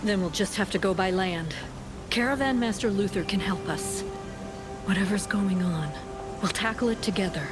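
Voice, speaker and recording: A loyal female companion in a fantasy game, played by a woman. She sounds determined, in a medium-pitched voice. Music is clearly present behind her. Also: moderate pace, natural voice. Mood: determined, hopeful. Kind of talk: video game voice acting.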